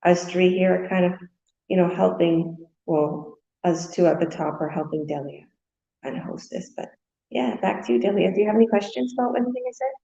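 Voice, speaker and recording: A woman's voice: on the low side, fairly quick, creaky, warm tone, echoey room, muffled recording. A woman business coach talking casually in a group video call. She is upbeat and keeps saying how easy it is. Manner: upbeat, repeats "super easy".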